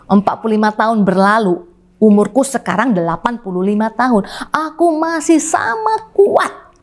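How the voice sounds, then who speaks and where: Pendeta perempuan 50-an, bernada tinggi. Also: female voice, animated, moderate pace. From Indonesia. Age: 50s. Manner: emphatic, calls "saudara", wide swings in pitch. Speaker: pastor. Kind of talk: sermon.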